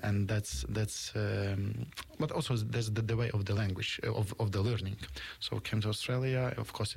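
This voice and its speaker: Husky, gravelly low-voiced man from Ukraine. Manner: halting, searching for words, earnest. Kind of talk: conversational interview.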